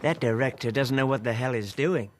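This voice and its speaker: An actor playing a younger comedian in a television drama, lonely and wistful, hesitantly putting on a brave face. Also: male voice, medium pitch, a little fast, a little hiss or hum, close to an everyday voice. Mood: frustrated. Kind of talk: television period drama dialogue.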